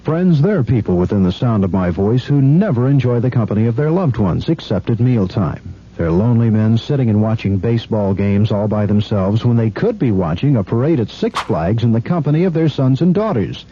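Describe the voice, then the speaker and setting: A man delivers a radio advertisement like a preacher exhorting his flock. His very dark voice rises and falls widely, on a muffled recording with faint rumble. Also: animated, fairly quick, few pauses, breathy edge. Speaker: radio commercial announcer. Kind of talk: mock-sermon advertising pitch.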